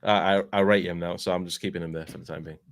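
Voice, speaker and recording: A man in his thirties with a London accent, chatting casually as a fan channel host, telling animated stories with lots of filler tags. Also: sits low, fast, dry recording, studio quality. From England. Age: 30s. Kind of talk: casual chat.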